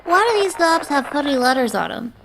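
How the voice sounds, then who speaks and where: A woman's voice: high voice, sing-song, fairly quick, fairly bright tone, a little hiss or hum, exaggerated voice, low-quality recording. A woman playing a timid, naive little sister in a radio drama, childlike and wide-eyed. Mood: wide-eyed wonder.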